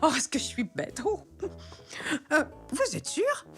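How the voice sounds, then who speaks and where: Cartoon grandmother zebra, doting and scatterbrained, high-pitched with lively swoops. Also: measured pace, soft background music, exaggerated voice. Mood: fussing, flustered. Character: chatty, doting, scatterbrained elderly granny zebra. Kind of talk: French children's cartoon dubbing.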